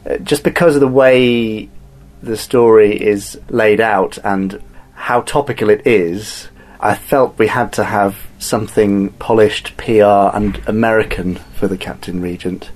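A man with a lowish voice speaks as a drama director. He explains his work in a conversational interview style. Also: a little mellow. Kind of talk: behind-the-scenes interview.

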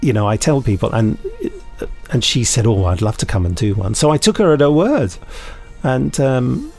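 A British man hosts a casual podcast at a middling pitch with some vocal fry. He rambles and digresses, poking dry fun at himself. Faint music sits behind him. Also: very animated, normal speed, a little mellow. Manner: self-mocking, digressive, dryly jokey. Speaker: podcast host and audio drama maker. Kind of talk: casual chat, rambling anecdotes.

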